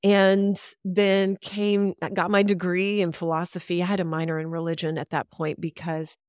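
Texas-raised woman in her 50s, kinda low voice, chatty and thoughtful, dry sound. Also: level tone, moderate pace, clean tone, dry recording. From Central Texas, USA. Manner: reflective, chatty, with easy laughs. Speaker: podcast guest, radio veteran. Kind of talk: casual interview storytelling.

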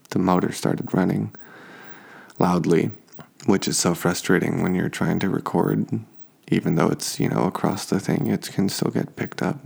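A tired man hosting a sleep podcast, speaking softly in an unhurried, relaxed and understated ramble. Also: deep voice, vocal fry, slightly rough. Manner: slow, deliberately relaxed, understated. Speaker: podcast host. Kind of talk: soft-spoken rambling sleep podcast monologue.

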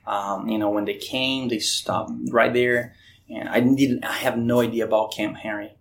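A man's voice: medium pitch, fairly even intonation, normal speed, vocal fry. A man from Brazil with a Brazilian Portuguese accent, telling his missionary testimony in an earnest way and acting out remembered conversations with many restarts. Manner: earnest, retells dialogue with frequent restarts. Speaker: missionary guest. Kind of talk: storytelling testimony.

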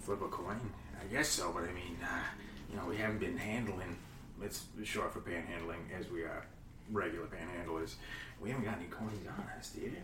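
A man playing a bumbling, excitable male panhandler who rambles and yells in improv comedy. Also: low-pitched, a little fast, recorded from a distance, lightly acted. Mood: rambling. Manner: rambling, excitable, prone to yelling. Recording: echoey room, off-mic, faint noise.

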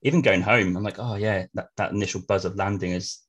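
A male podcast host with a very deep, monotone voice. He talks quickly and answers his guest with short, affirming interjections. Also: husky. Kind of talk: conversational interview.

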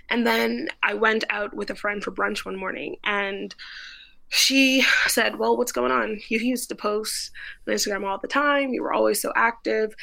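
A woman's voice: mid-range voice, gravelly, sharp tone, poor audio. A young woman from the Bahamas, a guest food photographer, telling her story in a chatty interview. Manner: chatty, lots of "like" fillers.

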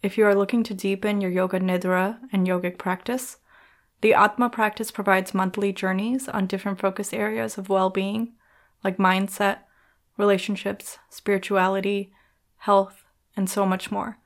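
A woman narrating a guided meditation in a soothing, measured manner, leaving gentle pauses between instructions. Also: medium pitch, level tone. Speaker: podcast host and yoga nidra guide.

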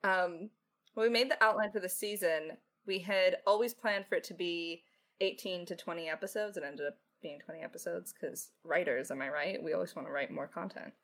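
A woman's voice: fairly low pitch, fairly quick, a bit bright. A young woman speaking as a writer in a casual podcast chat, informal and jokey in manner. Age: young adult. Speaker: lead writer and voice actor answering questions.

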